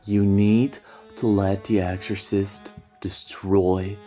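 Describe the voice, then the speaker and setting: A woman's voice: a bass voice, little pitch movement, takes it slowly, dark tone. A woman voicing a stern, commanding female queen of hell in a fan comic dub. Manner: cold, commanding, terse. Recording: faint music behind.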